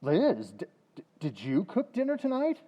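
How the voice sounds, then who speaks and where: A man acts out a doubtful husband in an old-time radio comedy. He is wry and theatrical, with lively swings in a lowish voice. The sound is dull and echoey. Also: moderate pace, heavily acted. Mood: exasperated. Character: doubtful, exasperated husband of a hapless cook. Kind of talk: old-time radio sitcom acting, live recreation.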